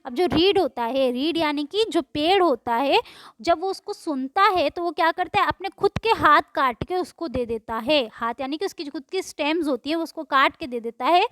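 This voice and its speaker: A woman teacher explaining a poem line by line, reading English lines slowly and then explaining them in Hindi, asking and answering her own questions. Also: sits high, fairly bright tone, no echo, studio quality.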